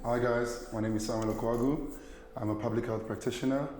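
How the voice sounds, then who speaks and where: A man's voice: medium pitch, steady tone, brisk, a little mellow, a little hiss or hum. Man, from Nigeria, polite, casual chat, faint ambience. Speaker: guest, public health practitioner. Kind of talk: casual group conversation. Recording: echoey room with faint ambience.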